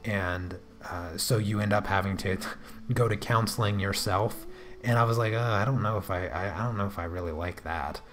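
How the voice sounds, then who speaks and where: Soft-spoken man with a deep voice. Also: normal speed, music in the background. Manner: hesitant, many restarts and fillers. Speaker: artist livestreaming while drawing. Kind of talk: casual livestream chat answering viewers.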